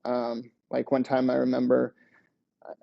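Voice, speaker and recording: Young man from Lithuania, gravelly voice, hesitant with lots of fillers, dull video-call audio. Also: mid-range voice, monotone, a little slow. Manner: hesitant, many fillers and restarts. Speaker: podcast guest, ultrarunner. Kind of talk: casual interview storytelling. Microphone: headset on video call.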